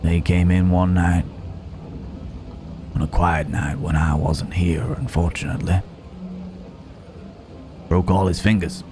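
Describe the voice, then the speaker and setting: A man playing a gallant yet menacing Wild West cowboy in an intimate ASMR roleplay, unhurried, with pauses and folksy old-fashioned phrasing. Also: sits low, little pitch movement, background noise. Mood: sombre, nostalgic. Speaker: voice actor in an audio roleplay.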